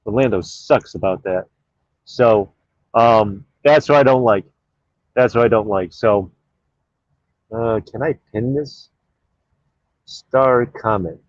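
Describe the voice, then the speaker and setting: A man's voice: medium pitch, takes it slowly, long pauses, no echo, lo-fi sound. A man hosting his own live stream in casual chat, reading out viewer comments in a rambling, offhand way with plenty of fillers.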